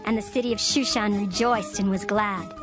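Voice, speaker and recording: A woman narrating a dramatized scripture reading in a measured, formal manner. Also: medium pitch, steady tone. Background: music.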